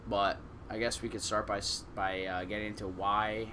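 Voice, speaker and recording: A young man co-hosting a casual podcast, talking haltingly with many fillers and restarts, teasing and fond. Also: on the low side, flat delivery, crowd noise. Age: young adult. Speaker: podcast co-host. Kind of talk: casual chat.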